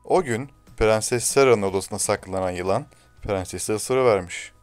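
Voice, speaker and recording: A man's voice: on the low side, normal speed, clean tone, faint music behind. A man reading a scripted fairy tale to children as a storyteller.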